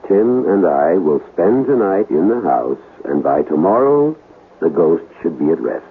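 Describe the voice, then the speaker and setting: Calm ghost hunter, tinny noisy recording. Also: male voice, sits low, full character voice. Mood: assured. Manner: measured, self-assured. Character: calm, confident professional male ghost hunter. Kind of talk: 1970s radio drama acting.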